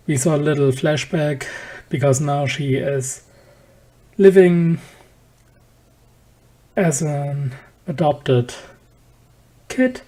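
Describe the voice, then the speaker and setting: A reviewer talks casually through an anime episode at a slow pace. The voice is dark and mellow, and the delivery is halting, with slightly non-native phrasing. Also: mid-range voice.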